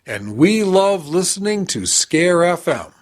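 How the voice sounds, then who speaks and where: A man's voice: high voice, big pitch swings, measured pace. A man giving a short promotional testimonial for radio. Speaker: visual effects creator giving a testimonial.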